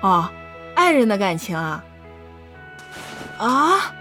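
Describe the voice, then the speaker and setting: A woman's voice: medium pitch, sing-song, slow pace, bright, forceful tone, faint music behind, exaggerated voice. A woman acting a naive, curious young female doctor who dresses as a man, in a Chinese costume audio drama. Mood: subdued, thoughtful. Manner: wide-eyed, hesitant when startled.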